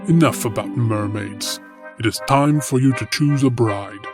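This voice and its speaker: An older, commanding king from an animated fairy tale, played by a man. He issues firm, imperious orders in a medium-pitched voice over prominent music. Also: measured pace, close to an everyday voice. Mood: stern.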